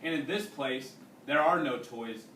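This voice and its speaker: A man's voice: mid-range voice, bright, forceful tone. A man reading a story aloud as a teacher, in an explanatory way aimed at students he questions along the way. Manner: explanatory, pausing to question young listeners. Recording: roomy, distant mic with faint noise.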